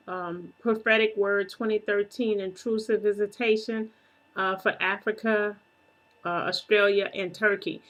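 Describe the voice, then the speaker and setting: A woman talks slowly and casually to her viewers while she walks them through her screen. She often stops to clear her throat, pauses on fillers and corrects herself as she goes. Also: mid-range voice. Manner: unhurried, self-correcting, conversational. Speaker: prophetic minister and video channel host.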